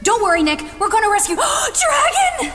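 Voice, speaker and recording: An actress playing an eager, determined, easily starstruck young woman in an audio drama, excitable in manner. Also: very high voice, moderate pace, very noisy. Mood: astonished. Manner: excitable, breathless awe. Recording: long reverb, clear music underneath.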